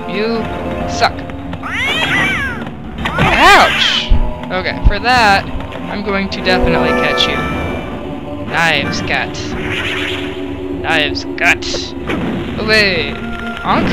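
A woman chats through her gameplay in a relaxed, unhurried way. She is playful and silly, taunting the enemies on screen, and her voice swoops up and down. Loud game music plays underneath her. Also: medium pitch, low-quality recording. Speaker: let's play gamer. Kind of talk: casual gameplay commentary.

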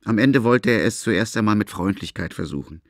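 A man's voice: on the low side, fairly quick, dry recording, studio quality. A man narrating a literary story for a German audio drama as scripted prose.

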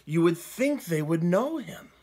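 A man's voice: sits high, big pitch swings, measured pace, dark tone, slightly rough. A middle-aged man preaching a sermon from a room at home into a webcam, earnest and often restarting his words. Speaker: pastor. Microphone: webcam, seated close.

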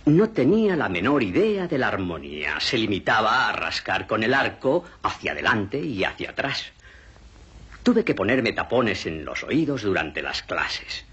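An actor playing an exasperated, disdainful cello teacher in a film mock interview. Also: male voice, fairly low pitch, very animated, a little slow, fairly bright tone, breathy edge, dull audio, heavily acted. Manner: exasperated, emphatic.

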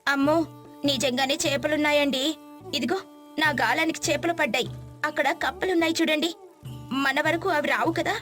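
A woman voicing an eager, delighted young city-bred wife in an animated moral story, with music behind her. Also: high-pitched, moderate pace, pauses often. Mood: delighted, curious.

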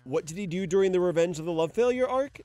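Animated young man ranting about anime. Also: high-pitched, fast, no room sound, soft background music. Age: young adult. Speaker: video essayist. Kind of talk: casual commentary.

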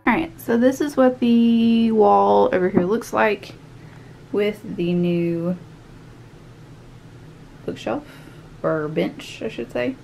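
A woman's voice: medium pitch, slow pace, gravelly, pauses often, dark tone, soft background music. A young woman with a Southern American accent talking casually as she tidies and shows off items in her small home office, thinking aloud as she works. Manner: chatty, upbeat, thinks aloud while tidying. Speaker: vlogger. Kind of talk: casual cleaning and haul vlog.

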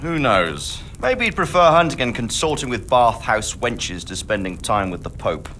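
An actor playing a dignified, dry-witted middle-aged knight lord in a video game, with a courtly manner. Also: male voice, mid-range voice, very animated, normal speed, sharp tone, a little hiss or hum. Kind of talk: video game cutscene acting.

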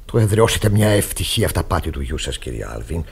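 An actor playing a moralising, easily shocked middle-aged pastor in a classic radio drama, pompous, halting and exclamatory. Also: male voice, sits low, big pitch swings, vocal fry, breathy edge, full character voice. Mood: admonishing.

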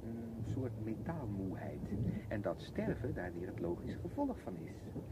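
A matter-of-fact lecturer in a radio play. He explains emphatically with a very varied melody, over loud ambient noise. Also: on the low side, very animated, a little slow. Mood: matter-of-fact, explaining. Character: matter-of-fact man lecturing on nature and death.

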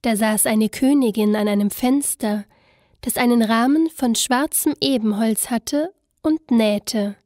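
A woman narrating a scripted fairy tale in an expressive, storybook manner. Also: fairly high pitch, dry recording, studio quality. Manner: expressive, unhurried storybook telling.

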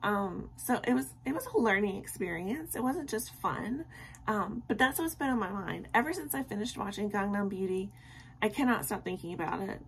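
A woman's voice: mid-range voice, expressive, normal speed. A young woman with a tired, scratchy voice chats casually to camera from her bedroom at night, rambling with many ums. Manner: rambling and friendly, with many ums and soft laughs. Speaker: vlogger.

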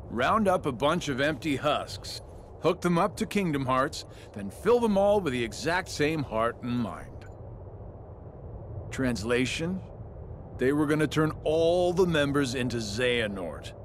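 Drawling, swooping, smug villain. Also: male voice, fairly high pitch, animated, a little slow, slight background noise. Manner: drawling, mocking, slangy. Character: smug, taunting male villain, sly and flippant. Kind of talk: animated video game villain acting.

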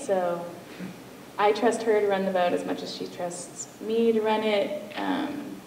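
A young woman tells stories as a panelist on a stage. Her voice has a creaky edge and a medium pitch. It is picked up from a distance in an echoey space. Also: dark tone. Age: late 20s to early 30s. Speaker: fishing boat co-skipper and panelist. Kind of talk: storytelling on a live panel. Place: auditorium stage, recorded from audience distance. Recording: echoey, distant, noisy hall recording.

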